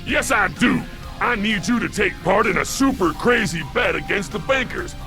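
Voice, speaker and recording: An actor playing a bossy, scheming robot police chief in a video game, briskly giving instructions. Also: male voice, high-pitched, animated, full character voice. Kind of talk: video game cutscene acting. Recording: loud music behind the voice.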